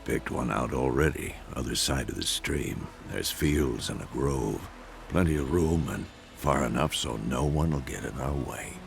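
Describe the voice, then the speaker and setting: Gravelly seasoned monster-hunting mentor. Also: male voice, very deep voice, a little slow, soft background music, heavily acted. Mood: assured, wry. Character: seasoned older male monster hunter, wry, fatherly mentor. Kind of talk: video game voice acting.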